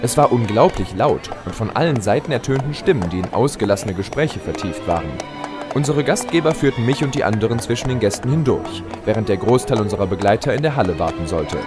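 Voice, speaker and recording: Lively man narrating over music. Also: lowish voice, a little fast. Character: confident young male adventurer pegasus narrating his tale. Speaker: narrator and lead voice. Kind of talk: scripted audio-drama narration and dialogue.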